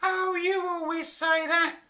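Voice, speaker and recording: Shrill scornful wife, sky-high voice, bright and sharp. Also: female voice, flat delivery, slow pace, full character voice. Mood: scolding. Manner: mocking, scornful. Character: shrill scolding wife, mocking and exasperated.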